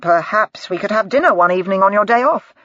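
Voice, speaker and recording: A woman voicing a polite, smooth-talking male doctor with a soft, coaxing manner, in a slightly dull, dry recording. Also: mid-range voice, lively intonation, fast, dry recording, lightly acted.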